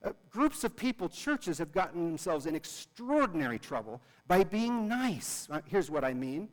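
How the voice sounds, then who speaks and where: A man's voice: a little high, very animated, moderate pace. Earnest preacher man in a big hall. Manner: earnest, repeats words for emphasis. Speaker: pastor. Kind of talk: sermon. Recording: long reverb of a large hall.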